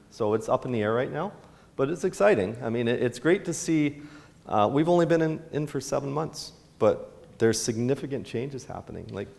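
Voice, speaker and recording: Middle-aged man, low-pitched, casual rambling answers, town hall event, long hall reverb. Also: vocal fry, darkish tone. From Canada. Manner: casual, rambling answers with restarts, gestures while standing. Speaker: town mayor. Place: large indoor hall with a stage.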